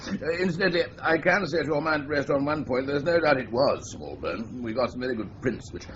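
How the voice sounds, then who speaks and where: A man playing a brisk police chief. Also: mid-range voice, lively intonation, background noise, natural voice. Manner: brisk, clipped, briefing tone. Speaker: senior police officer. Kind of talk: radio drama acting. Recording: dull lo-fi radio recording.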